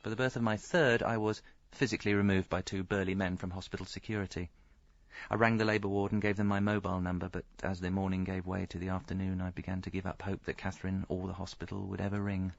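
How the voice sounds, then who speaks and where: A man's voice: deep voice, little pitch movement, quick pace, vocal fry, no echo, muffled recording. An actor with a British accent playing a hapless, pleading husband and father who narrates his own mishaps in a radio comedy drama, stammering and earnest. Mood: wry narration.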